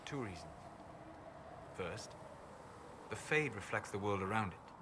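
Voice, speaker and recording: Reserved, scholarly elven mage for a fantasy game: low, formal, thoughtful delivery. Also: male voice, lively intonation. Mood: reflective. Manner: measured, formal, thoughtful phrasing. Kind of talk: video game dialogue acting. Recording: clear ambient noise behind game dialogue.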